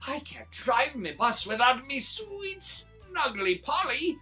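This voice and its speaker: A man voicing a gruff but soft-hearted pirate bus driver in a storybook, growling and drawing out his pirate talk. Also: very high voice, sing-song, takes it slowly, soft background music, full character voice. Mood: wailing, panicked.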